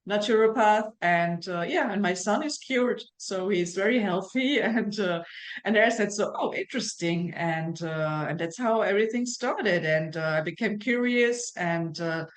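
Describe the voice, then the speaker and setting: A woman's voice: medium pitch, unhurried. German woman talking hesitantly. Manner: hesitant, many fillers and restarts. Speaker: naturopath guest. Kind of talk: casual interview conversation.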